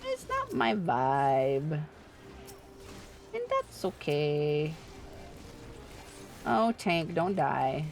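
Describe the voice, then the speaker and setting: Slow-paced, animated woman livestreaming a game. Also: sits low, creaky. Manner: informal, playful, sweary. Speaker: livestreamer playing an online game. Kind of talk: casual chat with viewers during gameplay.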